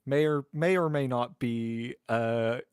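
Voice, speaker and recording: A man's voice: medium pitch, slow pace, darkish tone, clear, resonant tone, no echo. Dry-recorded man chatting as a game player. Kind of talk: casual chat.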